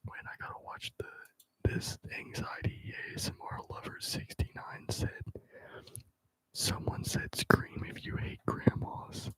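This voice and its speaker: A man's voice: measured pace, fairly bright tone, no room sound, rough recording. Whispering man, casual ASMR streamer. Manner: whispering, casual and rambling, slangy. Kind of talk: whispered ASMR live stream chat with viewers. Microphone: close microphone.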